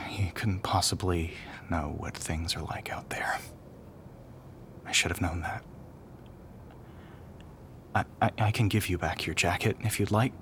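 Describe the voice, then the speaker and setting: A man playing a troubled, bitter young military telepath navigator in an audio roleplay, volatile yet apologetic, with halting, stammering delivery. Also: low voice. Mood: subdued, earnest. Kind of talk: audio roleplay acting addressed to the listener. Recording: clearly noisy background ambience.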